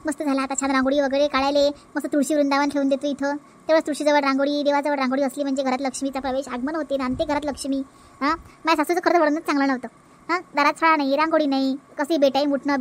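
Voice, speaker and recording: A woman's voice: high voice, lightly acted. A woman voicing a proud, determined young village wife in a family cartoon, assertive and emphatic, with a Vidarbha Marathi accent. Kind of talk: comic family cartoon dialogue.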